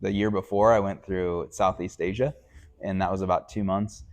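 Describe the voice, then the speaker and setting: Male interview host, low register, conversational and chatty. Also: level tone, normal speed, darkish tone.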